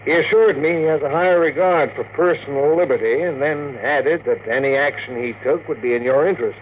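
An actor playing a measured, candid lawyer advising a client in a classic radio drama. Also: male voice, a little high, phone-quality sound, natural voice. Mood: grave. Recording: thin old radio recording, faint noise.

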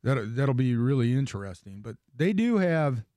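A man's voice: mid-range voice, very animated, moderate pace, warm tone, no room sound. Rambling man hosting sports radio, dry studio sound. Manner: rambling, self-interrupting, emphatic. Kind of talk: casual sports talk radio.

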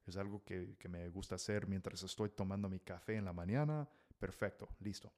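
A man's voice: sits low, a little fast, a little mellow. A male podcast host talking casually to language learners, with lots of fillers, restarts and Mexican slang. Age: adult, married. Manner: chatty, full of fillers and self-corrections. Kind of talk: casual solo podcast talk.

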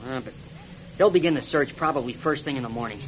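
A man's voice: mid-range voice, quick pace, lightly acted. An American man acting in a radio drama as an injured, practical, defensive pilot stranded after a crash. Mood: weary, reassuring. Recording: dull, noisy old radio recording.

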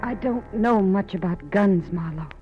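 A woman's voice: mid-range voice, measured pace, over loud music, lightly acted. An actress playing a grieving, wary young woman in a 1940s radio mystery, hesitant and fragile. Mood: anxious, hesitant. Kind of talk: 1940s radio drama acting. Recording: muffled old radio recording, faint noise.

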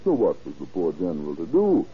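A sly drug-dealing boss in an old radio play. The voice is deep and rough-grained, with very lively inflection and an unhurried, falsely genial air. The sound is dull, like an aged broadcast. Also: male voice, heavily acted. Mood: sly, boastful. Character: shrewd, smooth-talking crime boss, drug seller. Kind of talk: 1940s radio drama acting. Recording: muffled old radio recording.

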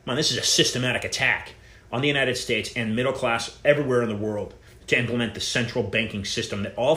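A man's voice: mid-range voice, fairly bright tone. A man hosting a live talk show, who rambles and repeats himself with many fillers and restarts and presses his point insistently. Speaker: show host.

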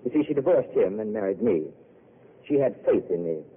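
An actor playing a weary, self-mocking intellectual who speaks in a wry, eloquent way, heard on a tinny old radio broadcast. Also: male voice, fairly low pitch, expressive, brisk, breathy edge. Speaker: wandering failed writer. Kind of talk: 1930s radio drama acting.

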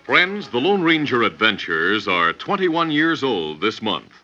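A man's voice: mid-range voice, big pitch swings, a little slow, bright, forceful tone. A man narrating as a 1950s radio announcer, in a grand, theatrical, declamatory way. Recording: muffled vintage radio recording with music.

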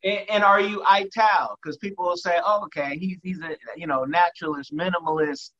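Guy hosting from his kitchen, high bright voice, rambles, muffled call sound. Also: fairly quick. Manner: rambling, repeats words, enthusiastic. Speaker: interview host. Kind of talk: casual interview chat. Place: home kitchen.

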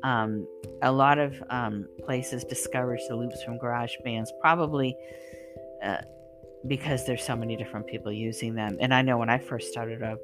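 A woman singer and channel host, hoarse and losing her voice, talking casually and rambling with many fillers and restarts. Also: a bass voice. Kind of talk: casual spoken monologue to listeners.

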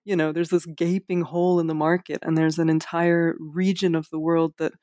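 Woman author, interview guest; flat delivery, halting and self-deprecating, dry recording. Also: low-pitched, no room sound. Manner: hesitant, many fillers and restarts, self-deprecating. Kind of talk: casual interview.

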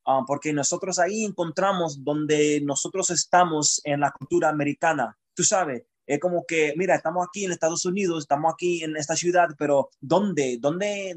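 A man from Chicago talks as a guest poet in a relaxed interview. He thinks out loud, feeling his way toward each point. His voice sits somewhat high for a man. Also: moderate pace, hardly any pauses. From Chicago, United States. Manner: reflective, searching for words. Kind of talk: conversational interview.

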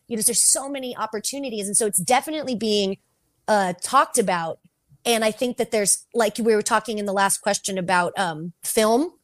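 A woman's voice: mid-range voice, no echo. A woman guest on a podcast, chatting casually and loosely, filling her talk with "like". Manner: chatty, heavy use of "like". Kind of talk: casual chat.

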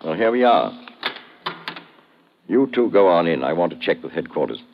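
Animated officer, noisy old radio. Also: male voice, low voice, normal speed, gravelly, lo-fi sound, heavily acted. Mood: calm. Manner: casual, clipped sentences. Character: easygoing colonial officer leading his lookout men. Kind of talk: 1950s radio drama acting.

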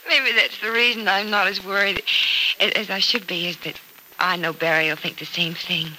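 A hopeful young hospital patient in an old radio soap. Her voice is very bright and rather low for a woman, and she keeps a brave, trusting manner. The vintage recording has faint noise behind her. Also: fairly even intonation, normal speed, low-quality recording. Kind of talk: 1940s radio soap opera acting.

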